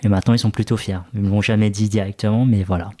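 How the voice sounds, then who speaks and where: A French man with a fairly low voice talks through his story in a relaxed interview. He pauses often, filling gaps with hesitant sounds as he thinks out loud. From France. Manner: hesitant, many "euh" fillers, thoughtful. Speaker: interview guest, restaurant co-founder. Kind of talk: casual interview talk.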